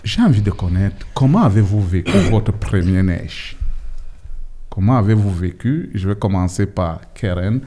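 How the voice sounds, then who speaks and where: A man's voice: sits low, animated, measured pace, mellow. A man hosting a podcast interview with young guests, asking informal questions and checking back with short tags. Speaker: host.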